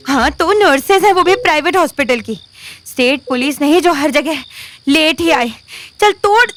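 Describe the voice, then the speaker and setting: A woman's voice: sits high, lively intonation, moderate pace, a bit bright, a little hiss or hum. An actress playing a bold, streetwise young woman in an audio drama, with a bossy, sardonic, teasing manner. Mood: sarcastic. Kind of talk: Hindi audio drama acting. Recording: music under the voice. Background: background music.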